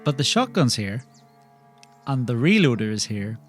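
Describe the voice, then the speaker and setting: A man with a medium-pitched voice plays in a tabletop game. He improvises casually, moving between speaking as his character and describing actions. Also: very animated, moderate pace, faint music behind.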